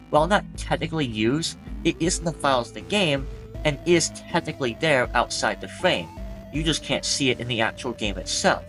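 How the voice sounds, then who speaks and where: A man's voice: medium pitch, fairly bright tone, low-quality recording. A man hosting a game theory video, narrating a scripted but conversational essay and putting rhetorical questions to the viewer. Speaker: YouTube game-lore theorist and host. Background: background music.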